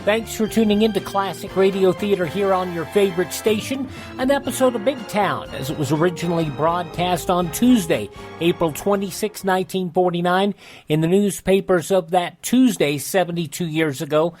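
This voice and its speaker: A man's voice: high-pitched, normal speed, over music. Guy hosting a radio show, reading out scripted headlines in announcer style. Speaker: radio host and news reader.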